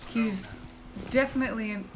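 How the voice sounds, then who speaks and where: A woman's voice: on the high side, moderate pace, background noise. A woman giving casual, explanatory commentary as she plays through a video game. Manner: informal, explanatory. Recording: loud game ambience behind voice.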